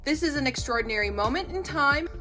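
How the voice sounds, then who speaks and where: A woman's voice: high voice, lively intonation, fast, pushed and bright, soft background music. A woman reading scripted entertainment news as a narrator.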